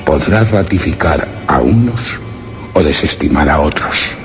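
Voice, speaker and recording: An actor from playing an anguished, secretive explorer in a suspense radio drama, narrating in grave, formal, literary language. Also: male voice, a bass voice, animated, measured pace, soft background music. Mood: anguished, solemn. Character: anguished male explorer hiding among a foreign people, solemn and secretive.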